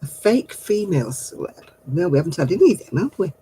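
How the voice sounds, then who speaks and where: A man's voice: on the high side, big pitch swings, a little fast, warm tone, slight background noise. A middle-aged man, hosting a casual craft livestream and chatting with viewers in a giggly, rambling way. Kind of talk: casual chat answering viewer comments.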